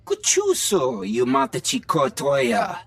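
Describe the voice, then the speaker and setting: A man's voice: sits high, huge pitch swings, slow pace, a little hiss or hum, exaggerated voice. A man voicing a menacing alien gang thug in a video game, speaking a guttural alien tongue. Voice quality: gravelly, husky, guttural. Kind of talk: video game character acting.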